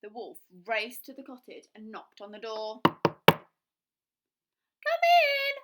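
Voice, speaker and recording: A woman's voice: mid-range voice, moderate pace, heavy background noise. A woman reading a children's storybook aloud, narrating expressively with dramatic emphasis.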